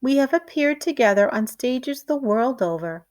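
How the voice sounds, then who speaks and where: A woman's voice: sits high, animated, normal speed, darkish tone, pitched above the performer's own voice. A woman voicing a pompous, insistent male stage performer in a storybook, with grandiose, theatrical declaiming.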